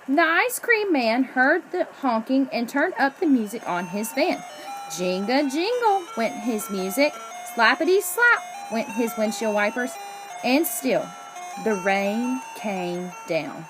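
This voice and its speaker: A woman reads a picture book aloud in a high voice that rises and falls with great animation. She tells it expressively for children, stretching out the ends of phrases. Soft music sits behind her. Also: sing-song, slow pace, low-quality recording. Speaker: storybook reader.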